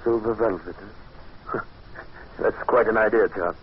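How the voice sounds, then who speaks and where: A male actor as a wealthy dreamer in the grip of a strange obsession. He speaks in a fairly low, hesitant, intense voice in vintage radio drama style. The sound is muffled and faintly noisy. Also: fairly even intonation, measured pace, lightly acted. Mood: musing. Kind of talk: 1940s radio acting. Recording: dull noisy old radio recording.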